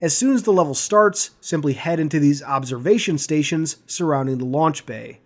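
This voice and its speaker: A man's voice: a little high, moderate pace. A man hosting a scripted commentary on video game lore.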